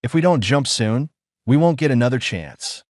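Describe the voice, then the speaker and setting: A man's voice: mid-range voice, animated, normal speed, a little mellow, no echo, clean studio sound, lightly acted. A man voicing a composed alien woman diplomat who speaks formally, without contractions.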